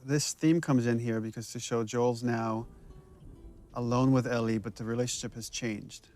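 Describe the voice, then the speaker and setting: A man with a medium-pitched voice talks casually as a game director. He explains scenes reflectively, in a conversational commentary style. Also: fairly even intonation, moderate pace, darkish tone, faint music behind.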